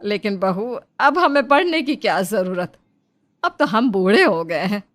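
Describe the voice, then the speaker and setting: A woman's voice: mid-range voice, animated, a little slow, fairly bright tone, faint music behind. Woman acting hesitant elderly village aunt. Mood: reluctant. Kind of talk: cartoon moral story dialogue.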